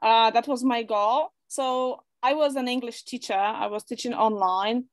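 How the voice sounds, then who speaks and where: A woman's voice: a little high, steady tone, unhurried. A young woman from Poland, talking chattily as an interview guest over a video call, often restarting her words. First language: Polish. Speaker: guest, online English school owner. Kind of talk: interview testimonial.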